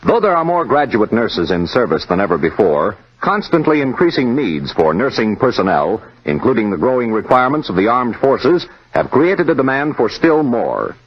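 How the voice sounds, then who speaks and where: An American male announcer in formal mid-century radio style. His medium-pitched voice is husky and moves with lively intonation. The old recording is dull. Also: normal speed.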